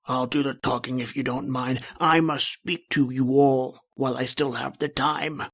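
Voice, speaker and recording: A man's voice: medium pitch, fairly even intonation, normal speed, a bit bright. A man playing a bitter, imperious, dying old uncle in an old-time radio horror play, full of menace.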